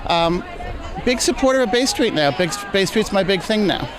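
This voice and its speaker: Matter-of-fact man, higher voice, crowd behind. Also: lively intonation, normal speed. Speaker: theater supporter, guest. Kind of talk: red-carpet interview. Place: outdoors at an evening gala. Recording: loud crowd chatter behind.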